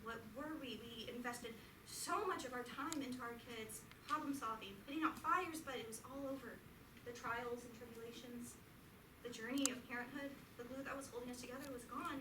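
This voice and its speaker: A woman's voice: fairly high pitch, a little fast, noisy background, close to an everyday voice. A woman reading the part of a frank, affectionate middle-aged wife in a staged play reading. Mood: earnest. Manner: earnest stage reading, rising to exasperated outbursts. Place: theatre auditorium. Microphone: distant stage pickup. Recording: echoey theatre taped from far off.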